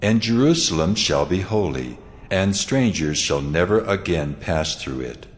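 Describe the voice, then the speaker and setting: Deep, echoing, majestic God. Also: male voice, lively intonation, measured pace. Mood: solemn, majestic. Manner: solemn, declamatory. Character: God speaking to his people, solemn, majestic male deity. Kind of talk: dramatized scripture reading. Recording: long cavernous reverb under clear music.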